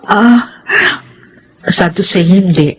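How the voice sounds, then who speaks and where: An actress playing a devoted, gentle housewife and dutiful daughter with an earnest, theatrical manner in a Filipino radio drama. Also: female voice, lowish voice, very slow, husky, exaggerated voice. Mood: apologetic, devoted. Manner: earnest, soft-hearted, theatrical.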